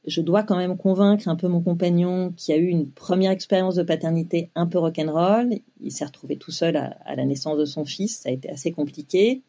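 A woman's voice: on the low side, a little fast, hardly any pauses, warm tone. A woman in her forties telling her own story as an interview guest, with many fillers and restarts. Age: 40s. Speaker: guest, web publisher.

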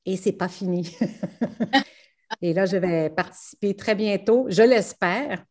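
A woman from Quebec with a Québécois accent, answering interview questions about her rallies with enthusiasm, often laughing and filling pauses with "euh". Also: medium pitch, a little mellow. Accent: Québécois French. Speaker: rally co-driver.

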